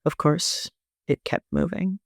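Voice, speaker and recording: A man's voice: a little high, fairly even intonation, takes it slowly, no room sound, studio quality. A man reading scripted first-person story narration as an amateur author.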